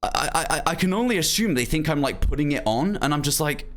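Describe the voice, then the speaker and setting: A man's voice: fairly high pitch, lively intonation, fast, fairly bright tone. A young man streaming, chatting casually to viewers in a rambling way full of "like" and "um". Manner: rambling, lots of "um" and "like", laughs easily.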